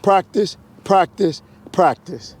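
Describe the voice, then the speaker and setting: A man with a hoarse voice, a motorcycle instructor coaching a slow-speed riding exercise step by step, repeating short cues, recorded outdoors on a wireless mic. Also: high voice, a little mellow.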